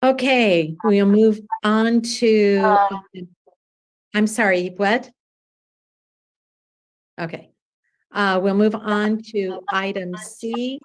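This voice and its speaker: A woman's voice: mid-range voice, steady tone, extremely slow, pauses often, low-quality recording. A woman chairing a city planning commission meeting, reading agenda items formally and calling for motions.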